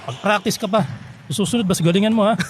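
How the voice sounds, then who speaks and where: A man's voice: sits high, fast, slight background noise, lightly acted. An actor playing a competitive, teasing but devoted middle-aged father in a radio drama, exhorting his son. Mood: pushy, teasing. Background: background music.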